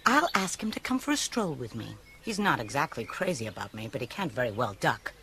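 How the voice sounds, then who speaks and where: Brassy American lady crook, very animated. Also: a bass voice, a little fast, exaggerated voice. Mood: sly, scheming. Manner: slangy, knowing. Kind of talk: 1980s radio drama acting. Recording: noisy radio recording with birdsong.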